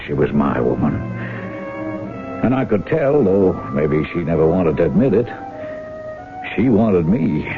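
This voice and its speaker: An old, band-limited radio broadcast with music behind it. a resentful, covetous older brother working a farm. His speech is folksy and swings widely in pitch, in dramatic first-person radio style. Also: narrow, tinny audio. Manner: folksy, plain rural speech. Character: resentful, lustful older brother, rough-edged farmer.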